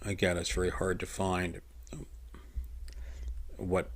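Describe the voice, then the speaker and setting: A man with a very deep voice talks loosely over a desk microphone on a video call, rambling and restarting his sentences. He has a loud voice and laughs often. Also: level tone, slow. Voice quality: loud voice, by his own account. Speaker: show guest or host talking about his design work. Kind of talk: casual video call chat. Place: home room in Japan.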